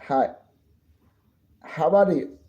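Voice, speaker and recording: A man's voice: fairly high pitch, mellow, breathy edge, dull audio. A tired man chatting loosely on a late-night livestream, drinking and smoking as he rambles. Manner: rambling, slow and drawn-out, drinking and smoking on stream.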